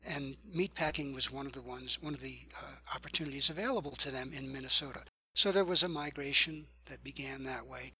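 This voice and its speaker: Bright, breathy older man. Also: mid-range voice, a little fast. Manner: thoughtful, repeats words while searching for phrasing. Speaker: author and journalism professor. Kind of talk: podcast interview answers.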